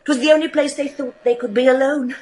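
A woman acting a fearful, haunted daughter of a gothic manor in a horror radio play, theatrical and hushed. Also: high-pitched, fairly even intonation, fast, a little hiss or hum, close to an everyday voice. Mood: anxious, secretive. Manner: breathy, theatrical, hushed and ominous.

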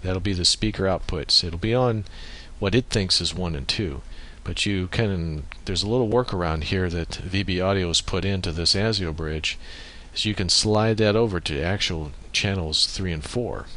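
A man giving an informal software tutorial, explaining methodically and thinking aloud as he works through the steps. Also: low-pitched, normal speed, hardly any pauses. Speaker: tutorial presenter. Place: indoors at a computer, voice-over on screen recording.

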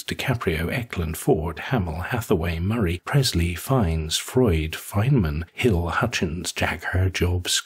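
A male storyteller reads scripted fiction. His voice is fairly deep and extremely husky, with a rough, breathy texture. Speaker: narrator.

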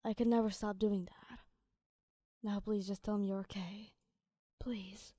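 Woman reading as a captive teen boy hero, hushed and pleading, Arabic-tinged accent, slow and dark. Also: medium pitch, level tone, no echo, studio quality, lightly acted. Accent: Arabic-tinged English. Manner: whispered, pleading. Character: hot-tempered teenage boy hero, captive.

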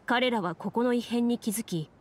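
A woman's voice: on the high side, a little mellow, close to an everyday voice. A woman playing a composed game master, narrating solemnly and formally in an anime game. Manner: measured, formal. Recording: music behind the voice.

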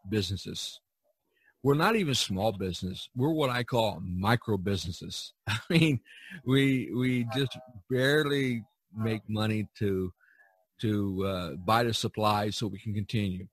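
A man talking casually as a podcast host, halting and repeating himself, often dropping in "you know". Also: lowish voice, fairly bright tone, no echo.

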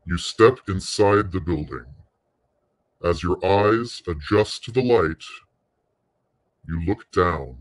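Male meditation narrator, low register, very slow delivery, soothing and unhurried, short phrases with pauses. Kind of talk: guided meditation.

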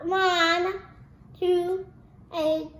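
A young-sounding reader going through a storybook aloud haltingly, word by word, repeating prompts. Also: very high-pitched, level tone, fairly bright tone, echoey room.

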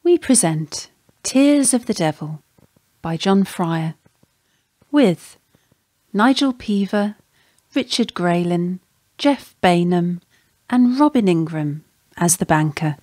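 Female announcer for radio drama, scripted credits, unhurried delivery, slightly low pitch. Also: animated, darkish tone, dry recording. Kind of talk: scripted introduction.

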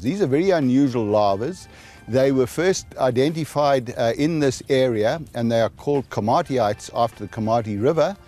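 A man's voice: medium pitch, mellow. An older man, a geologist, explaining the landscape outdoors in a patient way with many fillers, speaking into a handheld mic. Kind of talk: explanatory field talk. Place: outdoors on a hillside.

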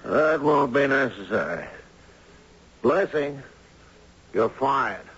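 A man playing an authoritative but uneasy board official in a 1970s radio drama, with a husky voice and formal, measured manner. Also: mid-range voice, animated, a bit bright, exaggerated voice. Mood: stern. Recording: dull 1970s radio recording.

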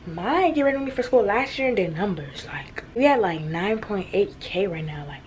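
A woman's voice: mid-range voice, expressive, darkish tone. Teen girl vlogger, casual and playful, talking on a phone camera, faint room hum. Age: teens. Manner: chatty, playful, quick asides and laughs. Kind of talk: casual vlog chat to camera. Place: at home in a bedroom, later in a large store. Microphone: phone camera held at arm's length.

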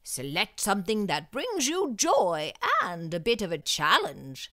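A woman voicing a kindly, encouraging male bat headmaster in a storybook, with a slow, grand delivery. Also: medium pitch, very animated, fairly bright tone, no echo, studio quality.

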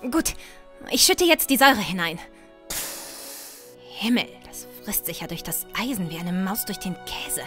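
A woman playing a determined, brisk young noblewoman in a fantasy audio drama. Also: mid-range voice, big pitch swings, music in the background. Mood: brisk, tense. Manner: bossy, brisk.

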